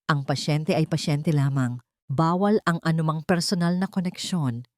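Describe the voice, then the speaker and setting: A woman acts out a head nurse in her fifties from a dramatic audio story. She is strict and icy, giving orders firmly in a fairly low, dry-recorded voice with no warmth at all. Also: normal speed, dry recording, close to an everyday voice. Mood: cold, stern. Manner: cold, firm, commanding, no warmth.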